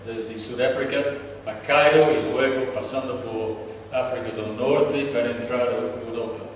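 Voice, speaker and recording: Older aussie guy preaching in his second language, native english speaker, kinda halting, far from the mic in a big echoey hall. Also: medium pitch, steady tone, slight background noise. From Australia. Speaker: guest preacher. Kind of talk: personal testimony to a congregation, pausing for an interpreter.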